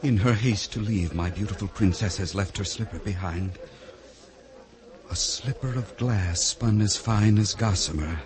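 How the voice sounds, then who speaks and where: An actor playing an ardent young fairy-tale prince, speaking in flowery, formal phrases on old-time radio. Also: male voice, lowish voice, unhurried, very noisy, close to an everyday voice. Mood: resolute. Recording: vintage radio drama with music.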